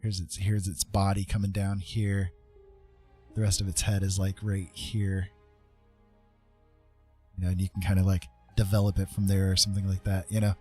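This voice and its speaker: A man's voice: sits low, monotone, normal speed, pauses often, a little mellow, soft background music. A man hosting a casual drawing tutorial, thinking aloud in a rambling, informal way with frequent tag questions. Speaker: art tutorial host.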